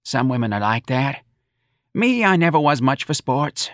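Audiobook role: plaintive older working-class mother, acted by a man, high-pitched and swooping, self-pitying nag. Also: sing-song, moderate pace, fairly bright tone, no echo, studio quality. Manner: folksy ungrammatical talk, plaintive.

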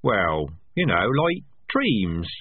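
A man voicing an earnest, curious young male rat who keeps asking questions in a raised, squeaky voice. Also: wildly swooping pitch, very slow, slightly rough, dry recording, heavily acted. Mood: wondering. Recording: dry, tinny phone-like sound.

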